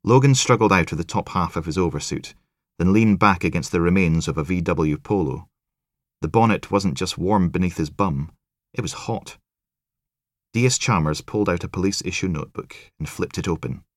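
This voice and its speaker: Man, deep voice, audiobook narrator, crime novel, scripted reading, dry sound. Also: normal speed, dry recording.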